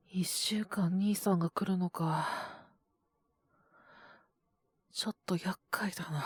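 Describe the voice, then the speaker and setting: A woman voicing a gentle young male teacher who is feverish and coughing, speaking softly and tiredly. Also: mid-range voice, dry recording, clean studio sound. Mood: weary, murmuring. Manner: soft, tired, mumbling, often whispered. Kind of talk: audio drama for women listeners.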